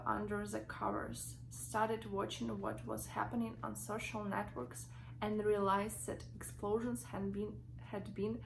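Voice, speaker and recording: A young woman with a Ukrainian accent, reading her own story from notes in a halting way with many fillers and restarts. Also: medium pitch, a bit bright, poor audio. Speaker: vlogger.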